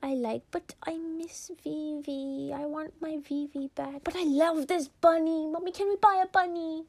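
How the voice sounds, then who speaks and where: A woman voicing an excitable little girl, the big sister, eager and pleading as she talks. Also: high voice, measured pace, vocal fry, no echo, pitched above the performer's own voice.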